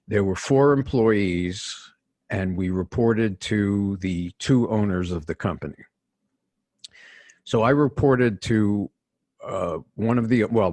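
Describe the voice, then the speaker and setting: Deep-voiced older New York man. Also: a little mellow, dry recording. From New York City. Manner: measured, restarts phrases often. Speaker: guest, executive coach. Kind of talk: conversational interview storytelling.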